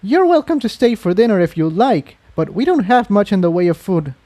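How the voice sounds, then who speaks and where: A man's voice: high voice, big pitch swings, a little fast, dark tone, dry recording, pitched above the performer's own voice. A man voicing a friendly, gracious female unicorn pony in a fantasy storybook reading. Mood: grateful, friendly.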